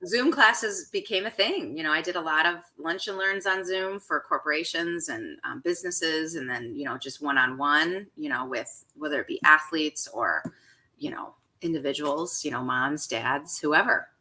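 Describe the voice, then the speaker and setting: A woman's voice: deep voice, normal speed. A woman with a Chicago accent, a chef and coach, chatting enthusiastically as a guest on a radio show. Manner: enthusiastic, animated. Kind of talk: casual interview chat.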